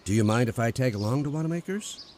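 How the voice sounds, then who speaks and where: A man's voice: mid-range voice, animated, quick pace, darkish tone, close to an everyday voice. A man playing an easygoing, wry, folksy dreamer in an old-time radio romantic comedy. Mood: friendly. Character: easygoing, wry male drifter dreaming of building boats.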